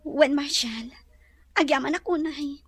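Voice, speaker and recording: A woman's voice: high-pitched, a little slow, dry recording. A woman playing a sincere sweetheart and lady of the house in an Ilocano audio drama. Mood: grateful, tender.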